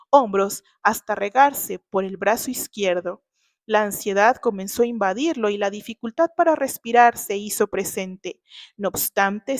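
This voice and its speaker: High-voiced Mexican woman narrating dramatically. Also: dry recording. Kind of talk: dramatic scripted story narration.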